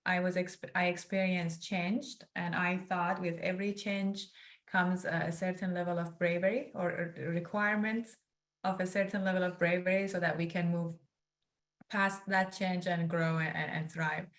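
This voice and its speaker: A woman's voice: on the low side, flat delivery. Earnest young woman author on video call. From northeast Iran. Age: young adult. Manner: earnest, grateful, quick bursts of speech. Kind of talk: guest introducing herself and her book. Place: online event over video call.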